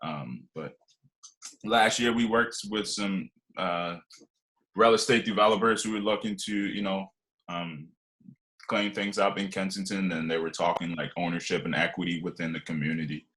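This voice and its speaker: Deep voiced guy in his 20s from liberia, kinda tense bright tone, loose rambly storytelling on a podcast. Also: steady tone, moderate pace. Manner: loose, rambling, many fillers and restarts. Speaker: podcast guest. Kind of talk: casual conversational storytelling.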